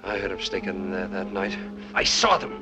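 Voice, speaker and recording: A man's voice: low voice, expressive, fairly quick, music in the background. An actor playing a bitter, drunken middle-aged husband who sneers and accuses his wife in a film scene. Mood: raging. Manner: sneering, accusing, rising to rage.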